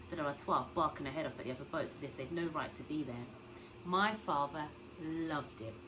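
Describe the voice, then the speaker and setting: Low-voiced woman reading aloud, noisy recording. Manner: explains words and story simply for children. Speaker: teacher reading to pupils. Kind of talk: expressive storybook read-aloud with questions to young listeners.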